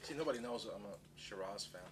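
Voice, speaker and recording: A man's voice: fairly low pitch, animated, fast talker, noisy background. A forty-year-old man, a guest and friend, playful and mock-defensive in casual chat.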